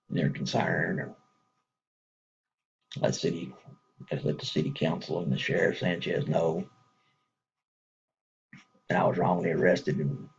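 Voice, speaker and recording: A man with a thick Texas drawl talks to his viewers in a loose, folksy ramble, often breaking into a chuckle. His voice sits very low and gravelly, with a strongly husky, rough edge. The recording is muffled and lo-fi. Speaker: man speaking to supporters. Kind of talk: casual appeal to viewers.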